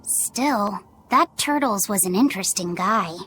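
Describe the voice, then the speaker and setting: A woman's voice: mid-range voice, expressive, unhurried, a bit bright, faint music behind. A woman voicing an innocent, bookish young boy spirit in a video game, earnest and hesitant. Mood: wondering. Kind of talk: video game cutscene acting.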